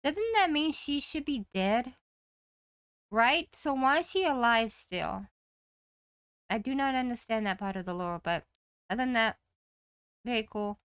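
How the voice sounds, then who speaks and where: A woman's voice: on the high side, animated, a little slow, pauses often, fairly bright tone, smooth, dry recording. A young adult woman giving casual reaction commentary as a video host, recorded close in a room at home.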